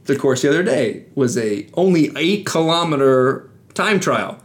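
Guy on a chatty podcast, kinda high voice, gets excited about sports. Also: normal speed. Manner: enthusiastic, explains cycling. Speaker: podcast co-host. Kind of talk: casual chat.

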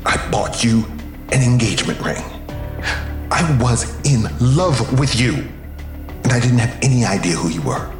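A man's voice: medium pitch, expressive, measured pace, long pauses, natural voice. An actor playing a wounded, suspicious man in an audio crime drama. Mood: hurt, accusing. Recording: echoey room with music.

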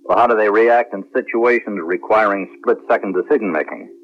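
Actor as American space program flyer, lowish male voice, seventies radio drama, dull lo-fi sound. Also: a little fast, a little hiss or hum, close to an everyday voice. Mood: probing, cool. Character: celebrated space hero captain, and his earnest clone. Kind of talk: 1970s radio drama acting. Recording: muffled lo-fi 1970s radio broadcast.

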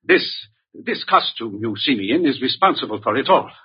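On a muffled vintage radio recording, a haughty, wealthy male client in fear for his life. The voice is extremely husky and rough, the speech stiff and formal. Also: mid-range voice, sing-song, moderate pace. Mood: haughty, anxious. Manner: stiff, formal, self-important. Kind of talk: old-time radio drama acting.

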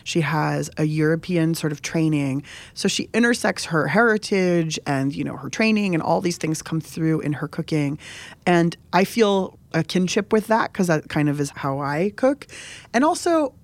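A woman's voice: low-pitched, lively intonation, moderate pace. A young adult woman from San Diego, a cook and show host, talking casually in an interview, chatty and often laughing.

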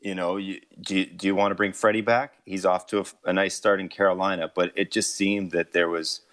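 A man's voice: deep voice, level tone, fairly quick, fairly bright tone. A man appearing as a guest hockey writer on a sports radio show, thinking through options aloud in an analytical way. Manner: thoughtful, weighs options aloud.

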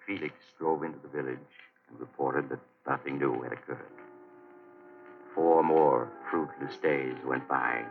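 A man with an extremely deep voice narrates an old radio mystery with ominous, measured drama. The recording is muffled and slightly distant, with clear music underneath.

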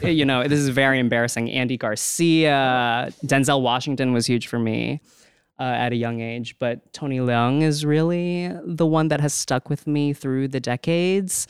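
Very clear-voiced man. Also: mid-range voice, no echo, clean studio sound. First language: Cantonese. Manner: animated, with laughing asides. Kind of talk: casual chat.

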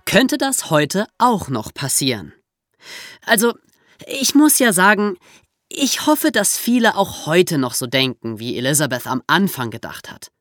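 A woman's voice: on the low side, sing-song, a little slow, fairly bright tone, dry recording. A woman co-hosting a podcast in a scripted discussion. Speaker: podcast co-host.

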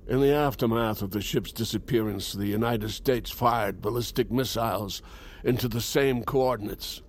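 An actor playing an earnest, uncertain man in an audio drama, speaking in a halting, solemn manner. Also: fairly low pitch, slight background noise, close to an everyday voice. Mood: earnest, resolute. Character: earnest, uncertain young man turned truth-telling broadcaster. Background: clear dramatic music.

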